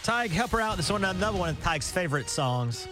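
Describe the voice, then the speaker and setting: A radio morning-show co-host, a man with a fairly high voice that sounds deeper and rougher than usual today. He teases and jokes his way through the segment. A music bed plays under him in the studio. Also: animated, quick pace. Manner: teasing, jokey. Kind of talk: casual radio banter.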